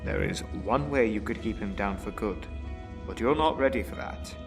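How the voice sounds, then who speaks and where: An actor playing a calm, retired older butler and mentor in an audio drama, formal, dry and measured. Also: male voice, lowish voice, creaky, far from the mic, music in the background. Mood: grave, earnest.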